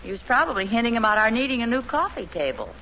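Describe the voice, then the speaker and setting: An actress playing a pleasant, chatty, gently teasing American suburban mother in a 1950s radio sitcom, with an easygoing conversational manner. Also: on the high side, sing-song, quick pace. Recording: muffled old radio recording, faint noise.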